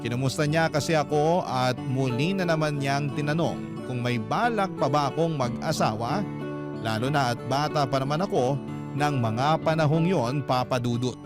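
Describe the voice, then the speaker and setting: A man's voice: medium pitch, normal speed. A man narrating a scripted first-person letter as a radio storyteller, in an emotive storytelling style. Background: guitar music.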